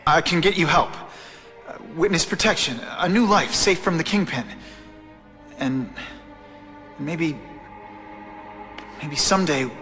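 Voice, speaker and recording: A man's voice: fairly high pitch, unhurried, pauses often. A man playing a quippy, earnest young superhero in a video game, with an American accent. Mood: earnest, torn. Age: young adult. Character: wisecracking young male superhero, earnest and caring. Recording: loud background music.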